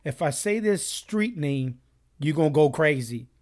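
Upbeat higher-voiced podcast host. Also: male voice, a little slow. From Southern California. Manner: lively storyteller who does voices for people in his stories. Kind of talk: casual faith chat.

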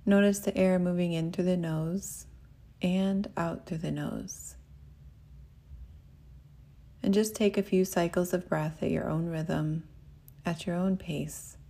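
A woman meditation teacher leading a guided meditation in a gentle, soothing, unhurried way. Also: fairly low pitch, fairly even intonation, creaky.

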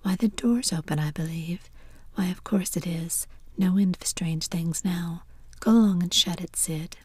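A woman's voice: on the low side, measured pace, slightly rough, no room sound. A woman whispering as a grieving, pious old aunt in a storybook reading.

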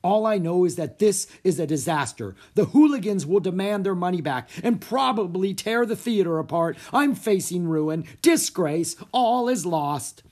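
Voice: medium pitch, expressive, measured pace, few pauses, dark tone, voice pushed up. A narrator voicing a melodramatic, despairing theater owner in an audiobook, wailing and pleading in an overwrought way. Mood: frantic despair.

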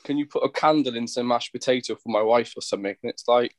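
A man's voice: mid-range voice, fast, dry recording. A Welsh man, a chef appearing as a podcast guest, talking bluntly and casually. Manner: blunt, laid-back, swearing casually.

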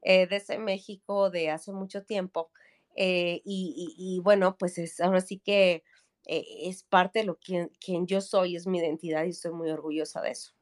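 A woman's voice: lowish voice, fairly even intonation, normal speed. A woman in her thirties from Mexico City with a Mexico City Spanish accent, a podcast guest chatting casually with many fillers and tag questions. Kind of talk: casual chat.

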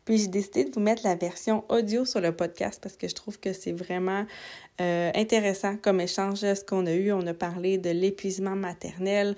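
A woman with a Québécois French accent hosts a podcast in a casual, friendly way. She talks loosely with fillers and keeps the exchange relaxed. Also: mid-range voice, normal speed. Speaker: podcast host.

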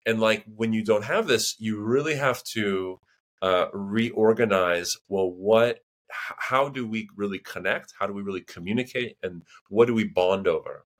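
A man with an American accent talks in a fairly low voice as a podcast guest. His speech is loose and meandering, full of restarts and filler phrases. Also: moderate pace. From United States. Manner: rambling, full of "you know" and restarts. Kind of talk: casual conversation.

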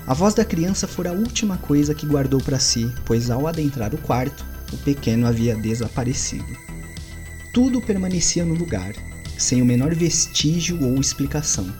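A man narrating an audio drama and hosting a tabletop game, telling the story in a deliberate, dramatic way that slows for suspense. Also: a little high, very animated, dark tone. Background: faint music.

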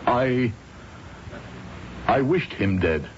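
A troubled, earnest man in an old radio drama. His mid-pitched voice halts, then presses on solemnly. The recording is dull and lo-fi, with faint noise behind it. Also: animated. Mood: solemn, insistent. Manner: halting, solemn, insistent.

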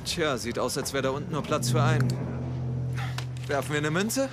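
A man's voice: a little high, expressive, moderate pace, heavy background noise, close to an everyday voice. A man acting a cocky, sarcastic brother who investigates, teasing and flippant in a dubbed German TV drama. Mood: sardonic.

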